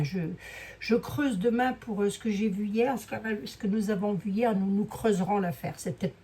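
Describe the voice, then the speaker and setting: A woman with a hoarse voice giving a casual, rambling card reading, full of fillers and asides to her viewers. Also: mid-range voice, warm tone. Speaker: clairvoyant doing a card reading. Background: fan noise.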